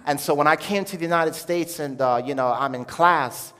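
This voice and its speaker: A man's voice: fairly high pitch, moderate pace, fairly bright tone. A man giving an informal religious testimony to an audience, telling his story conversationally and often searching for words. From Jamaica, then Brooklyn, New York from childhood. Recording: echoey hall with long reverb.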